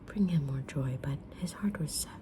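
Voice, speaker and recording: A woman's voice: deep voice, noisy background, close to an everyday voice. Whispering, kindly mysterious witch played by a woman. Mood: calm, mysterious. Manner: slow, gentle, deliberate whispering with pauses. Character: kind, mysterious witch fortune teller, patient and sincere. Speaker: ASMR roleplayer reading tarot cards. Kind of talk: ASMR roleplay, whispered.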